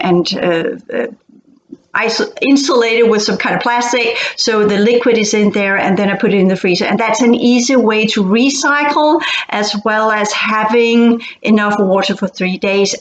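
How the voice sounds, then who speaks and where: A woman from Denmark, not a native English speaker, talking casually as host and often pausing to search for words. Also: medium pitch. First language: Danish. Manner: hesitant, searching for words with many fillers and restarts. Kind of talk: casual explanatory talk. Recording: echoey, dull-sounding room recording.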